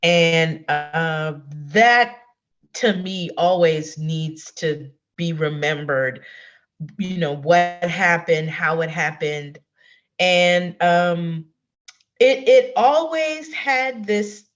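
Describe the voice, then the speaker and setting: Slow-talking woman on a dull lo-fi line. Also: lowish voice. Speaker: poet. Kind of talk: casual talk about own poetry.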